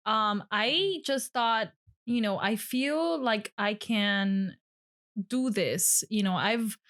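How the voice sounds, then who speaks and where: A woman's voice: mid-range voice, slow, fairly bright tone. A woman in her twenties, a podcast guest, telling stories in casual chat with many fillers. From grew up in Hialeah, Florida. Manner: chatty storyteller with many fillers.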